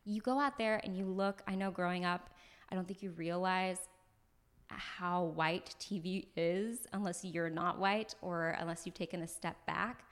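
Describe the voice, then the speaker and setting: Young American actress, podcast guest, slightly low voice, animated and laughing, casual. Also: female voice, unhurried. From United States. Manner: animated, laughs often, talks in bursts with many "like"s. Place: floor of an apartment on moving day.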